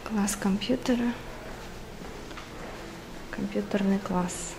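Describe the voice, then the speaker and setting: A woman's voice: mid-range voice, fairly even intonation, takes it slowly. A woman from Kazakhstan walking through a school and chatting to her viewers, filming on a handheld camera. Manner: chatty, explaining to viewers with small laughs and filler sounds. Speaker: vlogger. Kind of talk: casual walking vlog narration. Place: inside a large school building, rooms and corridors, partly outdoors. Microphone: handheld camera, speaker off screen. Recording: camera recording with clear background noise.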